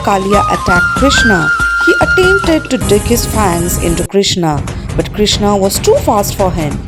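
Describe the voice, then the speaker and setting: A woman narrating a dramatized story for a student production in a scripted storytelling style. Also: mid-range voice. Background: music.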